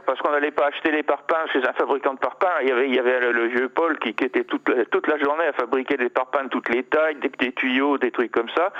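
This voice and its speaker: A man in his seventies from Normandy, a sculptor interviewed by phone, talking in a halting way with many restarts and fillers, chuckling now and then. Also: medium pitch. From Normandy, France. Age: 70s. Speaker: sculptor guest. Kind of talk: casual interview over the phone. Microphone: telephone line. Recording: muffled phone line.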